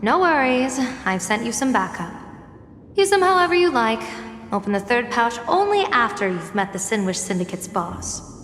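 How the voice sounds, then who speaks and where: An echoing, all-knowing female strategist from a video game. She sounds playful and animated in pitch, with long reverb and faint noise around her. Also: normal speed. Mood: breezy, knowing.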